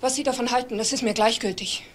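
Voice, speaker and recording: A woman's voice: on the high side, steady tone, quick pace, close to an everyday voice. An actress playing a bitter, distraught middle-aged woman in a crime drama, emphatic and insistent. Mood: accusing, vehement. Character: bitter, accusing woman named Lisbeth, vehement and distraught.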